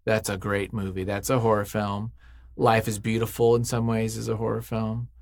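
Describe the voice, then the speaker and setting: A man's voice: on the low side, level tone, normal speed, creaky, dry recording, clean studio sound. A man speaking as an interview guest in casual, unscripted chat, effusive and emphatic, often thinking aloud.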